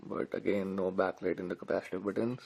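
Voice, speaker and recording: Man, deep voice, heavy vocal fry, casual hands-on review commentary, informal address to viewers. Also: level tone, fast, darkish tone. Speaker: tech reviewer.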